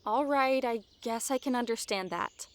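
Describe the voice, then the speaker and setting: A woman's voice: fairly high pitch, normal speed, a little hiss or hum, natural voice. A young woman playing a sarcastic, feisty adventurer in an audio drama, with a teasing way of talking.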